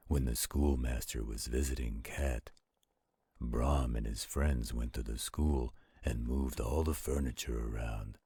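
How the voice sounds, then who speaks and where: Roleplay audio: gentle werewolf guardian, dark gravel rumble, unhurried, soothing, patient delivery. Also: male voice, full character voice. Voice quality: very deep, gravelly, husky. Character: gentle protective adult male werewolf reading aloud.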